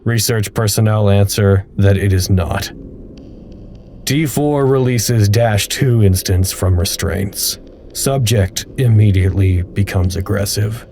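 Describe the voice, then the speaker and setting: Man acting as a low, mellow clinical log reader. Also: a little hiss or hum. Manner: clinical, impersonal, precise. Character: detached male research log narrator, clinical and unbothered. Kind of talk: scripted audio drama, formal report reading.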